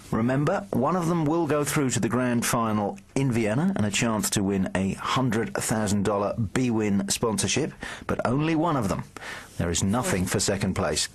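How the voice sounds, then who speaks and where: A man commentating on a poker final in a measured, wry way. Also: fairly low pitch, breathy edge. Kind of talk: live commentary.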